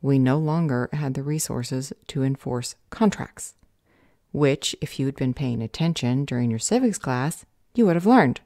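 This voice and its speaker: A woman's voice: very deep voice, expressive, measured pace, dark tone, dry recording, clean studio sound, heavily acted. A woman playing a jaded, condescending government customer service clerk in an audio roleplay, bureaucratic and unhelpful. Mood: lecturing, condescending. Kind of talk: audio roleplay drama acting.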